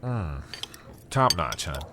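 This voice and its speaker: A man's voice: a bass voice, sing-song, slow pace, noisy background, full character voice. A man playing a cautious, plain-spoken farmer and family man in an audio drama, hesitant but direct. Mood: friendly.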